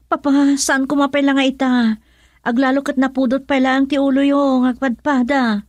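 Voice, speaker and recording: Actress as an anxious, high-voiced wife. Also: female voice, level tone, normal speed, a little mellow, no echo, natural voice. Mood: anxious, pleading. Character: anxious wife mediating between father and husband. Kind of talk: Ilocano radio drama acting.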